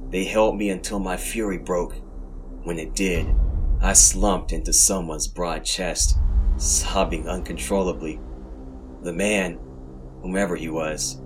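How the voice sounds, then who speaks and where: A man with a deep voice narrates horror fiction as a podcast storyteller. His phrasing is deliberate and dramatic. Faint music runs beneath him.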